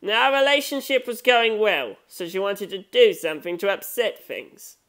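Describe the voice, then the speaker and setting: A woman's voice: mid-range voice, lively intonation, moderate pace, a bit bright, lightly acted. A woman reading the part of a menacing man in a story, gloating and condescending over a victim. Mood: menacing, irritated. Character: menacing adult man, strangler taunting his fallen victim.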